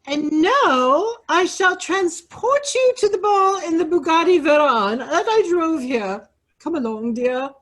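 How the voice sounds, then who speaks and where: A woman's voice: very high voice, expressive, unhurried, a bit bright, full character voice. A woman playing a gracious but firm fairy godmother in a children's fairy tale play, declaiming in a stately, theatrical way. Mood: grandly benevolent.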